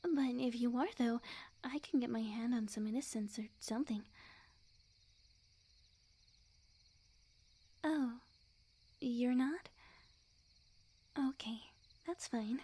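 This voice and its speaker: A woman playing a sweet, obsessive witch girlfriend in an ASMR roleplay, speaking softly and breathily in a coy, unhurried, often whispered voice. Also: on the high side, husky. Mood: eager, devoted.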